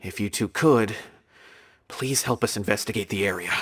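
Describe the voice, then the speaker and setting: A man's voice: on the low side, normal speed, breathy edge. A man voicing a polite, earnest male academy official in a video game, explaining matters formally and courteously. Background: game music.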